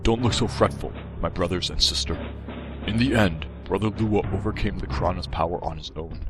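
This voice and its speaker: A man playing a calm, wise, brotherly warrior in an amateur audiobook drama, reassuring and relaxed in manner. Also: low-pitched, moderate pace, lightly acted. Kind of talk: amateur full-cast audiobook drama acting. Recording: loud music bed under the voice.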